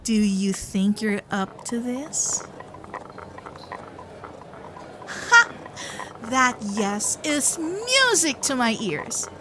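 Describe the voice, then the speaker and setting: A woman voicing a sweet, playful fox-spirit girlfriend in an ASMR roleplay, coy and affectionate, with soft hesitations. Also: fairly high pitch, sing-song, slow pace, background noise. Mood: confident, teasing. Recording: festival crowd and fireworks sound effects.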